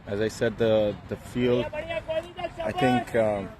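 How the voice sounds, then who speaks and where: A man from Bangladesh with a Bangladeshi English accent, giving relaxed, halting cricket commentary full of fillers outdoors by the field. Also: fairly low pitch, crowd noise. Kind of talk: casual live cricket commentary.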